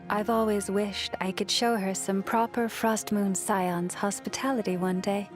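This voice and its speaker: A woman acting as a gentle, devout young priestess of the moon from a video game. She speaks slowly and softly at a medium pitch, measured and reflective, with breath trailing at the ends of her phrases. Music plays softly behind her. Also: steady tone, close to an everyday voice. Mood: warm, thoughtful. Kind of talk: scripted video game character voice lines.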